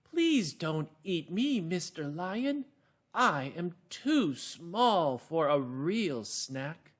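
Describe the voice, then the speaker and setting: A man voicing a tiny, polite mouse in a small, soft voice, meek and courteous, for a children's story. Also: on the high side, sing-song, voice pushed up, exaggerated voice. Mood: meek pleading. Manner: slow, pleading, courteous.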